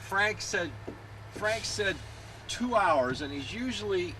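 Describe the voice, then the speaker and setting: Rambling chatty guy catching up with friends outside, slightly high voice, traffic noise. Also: expressive, slow pace, bright, forceful tone, low-quality recording. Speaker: friend joining the road trip. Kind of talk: casual storytelling chat. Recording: clear road noise, home video.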